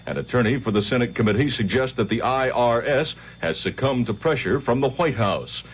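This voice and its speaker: A man's voice: mid-range voice, lively intonation, a little fast, breathy edge. A man with an American accent reading a network news bulletin in 1970s radio style. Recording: dull old radio broadcast, music behind.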